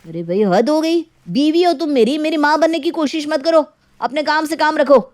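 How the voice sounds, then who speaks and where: A woman's voice: high voice, normal speed, a little mellow, pitched above the performer's own voice, natural voice. Woman acting brusque, irritable young husband. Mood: angry, defiant. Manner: brusque, dismissive. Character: selfish, irritable young husband, careless and defiant.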